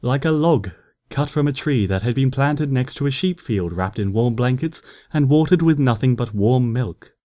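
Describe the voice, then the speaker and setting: A man calmly narrating a storybook in an unhurried way. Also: medium pitch. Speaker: story reader. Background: soft music.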